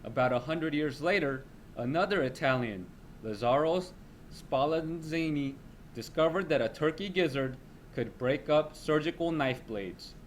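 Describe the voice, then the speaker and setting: A man's voice: a little high, animated, a little slow, a little hiss or hum. A man reading a children's nonfiction text aloud as a teacher.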